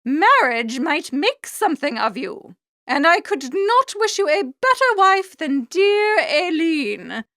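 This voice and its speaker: A woman's voice: extremely high pitch, sing-song, takes it slowly, a bit bright, dry recording, clean studio sound, exaggerated voice. A woman playing a domineering upper-class older aunt in an audiobook, with an imperious, lecturing manner. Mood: insistent. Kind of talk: audiobook character acting.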